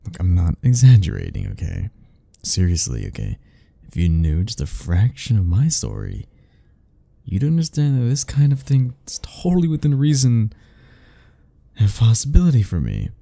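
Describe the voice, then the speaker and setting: A man's voice: fairly low pitch, wildly swooping pitch, normal speed, gravelly, warm tone, dry recording, heavily acted. A man playing an anxious, sarcastic, self-deprecating young man in a romantic ASMR roleplay, speaking in a wry, rambling way to the listener. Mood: panicked, exasperated. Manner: sighing, wry, rambling, chuckling.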